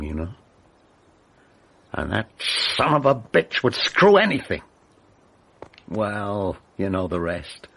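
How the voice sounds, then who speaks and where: A man's voice: low voice, exaggerated voice. An actor playing an ageing Hollywood film director in a radio drama, cynical, bitter and bluntly laconic, a man in his sixties. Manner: laconic, worldly, bluntly defiant.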